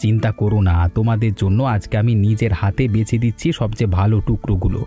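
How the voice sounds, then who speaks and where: A man's voice: fairly low pitch, flat delivery, fast, warm tone, faint music behind, close to an everyday voice. A man voicing a jovial, chatty middle-aged village butcher in a cartoon, teasing his customers. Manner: chatty, teasing, with tag "হ্যাঁ?". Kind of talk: cartoon voice acting.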